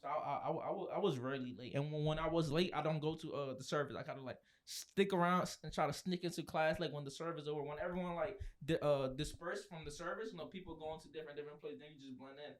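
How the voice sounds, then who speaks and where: A man's voice: a little high, quick pace, hardly any pauses. A man chatting casually as an inquisitive podcast host, often repeating phrases as he goes. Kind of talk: casual chat.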